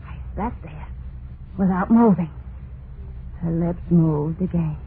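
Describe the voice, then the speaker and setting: An actress playing a terrified, unhinged wife in an old-time radio horror monologue, halting and overwrought, full of guilt and dread. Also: female voice, on the low side. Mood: horrified. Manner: halting, overwrought, drawn-out dramatic delivery. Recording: noisy narrow-band vintage radio recording.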